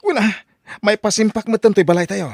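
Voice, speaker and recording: A woman's voice: medium pitch, brisk, darkish tone, dry recording, lightly acted. A woman voicing a reckless, boastful middle-aged husband who gambles, in an audio drama. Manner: boastful, brushes off worries.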